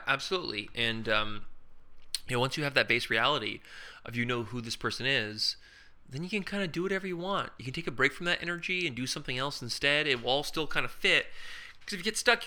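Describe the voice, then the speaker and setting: A man co-hosting an improv podcast, explaining character work casually with quick made-up examples and rhetorical questions. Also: medium pitch, lively intonation, pushed and bright. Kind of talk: casual instructional chat.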